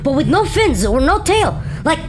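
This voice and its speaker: A woman's voice: sits high, very animated, normal speed, background noise. A woman playing a crude, panicky male space criminal who stammers and swears. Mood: frantic terror. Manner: stammering, frantic, profane. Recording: music behind the voice.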